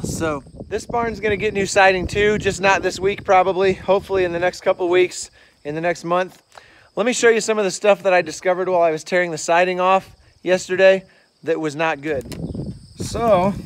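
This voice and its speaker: A man's voice: high-pitched, very noisy. A man in his thirties, a farmer, casually explaining barn repairs on his farm in a plain, practical way. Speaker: farmer and channel host. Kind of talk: casual farm vlog walkthrough. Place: outdoors beside an old barn on a farm. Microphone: handheld camera, often selfie at arm's length. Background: crickets and insects outdoors.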